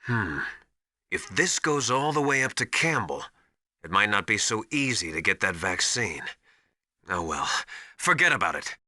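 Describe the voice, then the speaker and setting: Video game military advisor: male, authoritative teacher type, piercingly bright, dry close sound, urgent and emphatic. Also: lowish voice, sing-song, a little slow, no room sound, exaggerated voice. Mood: grave, cautioning. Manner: didactic, urgent, emphatic instruction. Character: knowledgeable, commanding male military survival mentor called Master. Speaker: radio support advisor.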